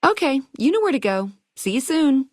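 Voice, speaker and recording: A woman's voice: sits high, very animated, moderate pace, dry recording, clean studio sound. A woman playing a perky, eager and quirky hotel keeper in a video game, chatty and full of chirpy salesmanship and playful old-fashioned slang. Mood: chirpy, welcoming. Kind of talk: video game dialogue lines.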